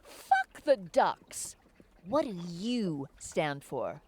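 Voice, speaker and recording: Casting: actress as furious, confrontational comic woman, high voice with huge swings, slow emphatic delivery, noisy recording. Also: big pitch swings, exaggerated voice. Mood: indignant, exasperated. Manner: indignant, confrontational. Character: angry, foul-mouthed woman ranting about a condescending duck. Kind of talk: comic stage play dialogue.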